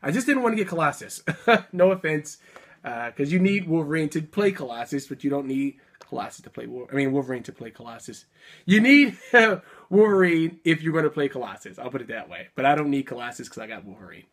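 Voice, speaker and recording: A man in his thirties chatting casually through an unboxing at a desk, upbeat with quick little laughs, a bit sick and sniffling. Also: fairly high pitch, lively intonation, fairly bright tone. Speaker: toy unboxing vlogger. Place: indoor room full of collectibles.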